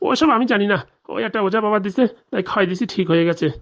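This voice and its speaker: A man's voice: high voice, racing, a bit bright. A man voicing an indignant, scheming middle-aged villager in a comedy cartoon, grumbling and swearing in rustic colloquial speech. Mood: dismissive, irritated. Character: indignant, scheming forty-year-old village man, jilted husband.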